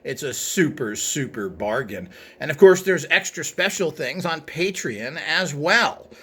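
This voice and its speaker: A man's voice: on the high side, lively intonation, unhurried, a bit bright, husky. A man presenting an informal show-and-tell in a hesitant, rambling way, recorded indoors behind a handheld camera.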